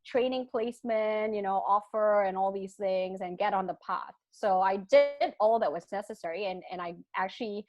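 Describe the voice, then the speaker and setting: A woman's voice: medium pitch, moderate pace, hardly any pauses, no room sound. Woman with mixed British-Malaysian accent. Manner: animated, frequent restarts, laughs between thoughts. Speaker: guest. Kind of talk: casual interview talk. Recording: dull lo-fi dry sound.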